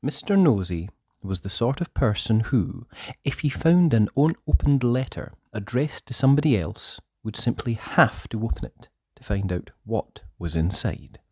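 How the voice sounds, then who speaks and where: A storyteller reading a children's book aloud in a theatrical, deliberate way with drawn-out emphasis. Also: mid-range voice, animated, warm tone, slightly rough, no echo, clean studio sound. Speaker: storybook narrator. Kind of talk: expressive children's read-aloud narration.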